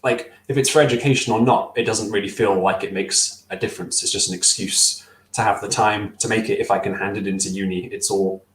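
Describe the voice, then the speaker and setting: A man's voice: fairly low pitch, steady tone, fairly quick, few pauses, reverberant, poor audio. A young man on a video call with a headset, answering interview questions casually, thoughtful and hesitant with many fillers and restarts. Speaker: stop-motion filmmaker guest. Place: small room at home.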